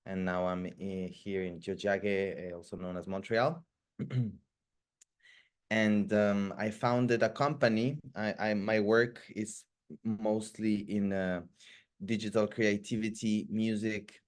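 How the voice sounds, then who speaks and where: A man giving an informal talk as a guest speaker, reflective and hesitant, often pausing and repeating words. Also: lowish voice, steady tone. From Ecuador. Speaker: guest speaker, music producer. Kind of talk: informal community talk presentation.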